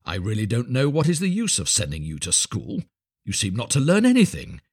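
A pompous, condescending miller played by a male reader from a storybook. His pitch swings widely as he moralizes in drawn-out, self-satisfied phrases. The recording is dry and close. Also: wildly swooping pitch, slightly rough, no room sound, heavily acted. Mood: stern, self-righteous. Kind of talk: storybook character voice.

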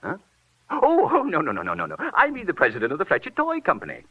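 An actor playing a whimsical, childish, pompous millionaire toy maker with precise diction, in 1940s radio style. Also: male voice, fairly high pitch, huge pitch swings, quick pace, husky, exaggerated voice. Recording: dull vintage 1940s radio recording.

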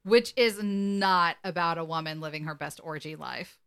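Woman, slightly low voice, casual podcast co-host, reading aloud, wry asides. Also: normal speed, fairly bright tone, clean tone.

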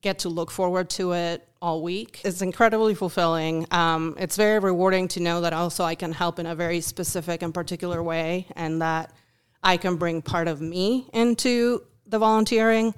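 Uruguayan woman, native Spanish speaker, podcast guest; lowish, very clear voice; thoughtful, ums and restarts. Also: fairly even intonation, normal speed. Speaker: guest, food bank volunteer. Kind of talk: podcast interview.

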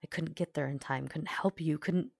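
A woman voicing a gruff, hot-headed young man who swears forcefully and is wracked with guilt, in an audiobook-style reading. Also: deep voice, little pitch movement, fairly quick, dry recording, heavily acted. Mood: anguished, yelling.

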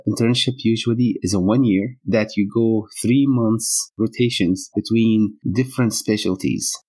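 A man's voice: fairly low pitch, a little mellow, low-quality recording. A middle-aged man from Syria, a physician guest answering interview questions in a hesitant way with many fillers. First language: Arabic.

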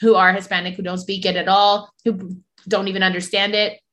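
A young woman from New Jersey whose first language is Spanish, co-hosting a casual chat with animated, emphatic talk and frequent laughs. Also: medium pitch, brisk. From born in New Jersey, US. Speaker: podcast co-host.

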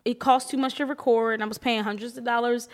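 A woman in her late thirties with a high, youthful voice, chatting and telling stories colloquially as a podcast co-host. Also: steady tone, quick pace. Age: late 30s. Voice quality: high-pitched, youthful-sounding voice. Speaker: co-host, filmmaker and teacher. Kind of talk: casual podcast conversation.

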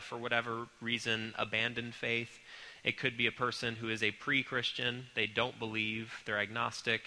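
Man, congested, church class teacher, earnest and halting, very bright tone, long reverb. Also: medium pitch. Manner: earnest, halting with many ums and restarts. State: congested with a cold. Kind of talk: recorded church class lecture.

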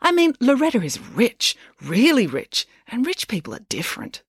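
A superstitious, gossip-loving schoolgirl from a storybook, voiced by a woman. She is earnest and insistent, with a raised, swooping pitch. The recording is dry. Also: wildly swooping pitch, normal speed, slightly rough, dry recording, heavily acted. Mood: gossipy. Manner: insistent, emphatic. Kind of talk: children's storybook character voice.

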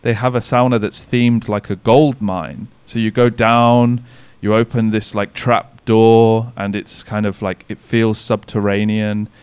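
A man's voice: lowish voice, level tone, measured pace, hardly any pauses, a little mellow, clean tone, no room sound. A man talking casually as a podcast guest over a remote call, rambling with many restarts and fillers. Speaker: podcast guest, sauna business owner. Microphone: remote recording call.

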